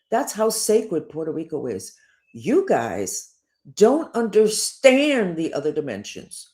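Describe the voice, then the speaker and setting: An older woman, a spiritual teacher, talking in a digressive, emphatic personal monologue. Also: sits low. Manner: digressive, emphatic, lively up-and-down delivery.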